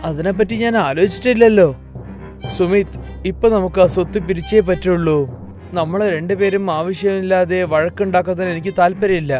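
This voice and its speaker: A man's voice: high-pitched, fairly quick, a little mellow, lightly acted. Calculating greedy elder brother voiced by a man. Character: scheming greedy elder brother, a married farmer. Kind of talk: dubbed children's fairy tale cartoon. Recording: faint music under the voice.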